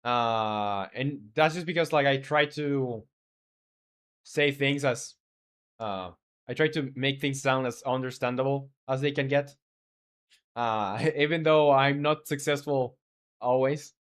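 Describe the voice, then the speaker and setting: Male game-dev streamer, Spanish first language, mid pitch. Casual, halting think-aloud delivery with lots of pauses. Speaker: game developer streaming live. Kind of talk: casual live-stream chat and coding talk.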